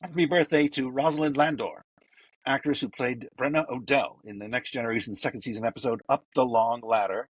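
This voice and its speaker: A man hosting a fan podcast, giving casual, effusive birthday shout-outs, rambling with lots of fillers. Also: medium pitch, fairly even intonation, a little fast, husky, dry recording, poor audio. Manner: effusive and enthusiastic, loose with fillers. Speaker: podcast host.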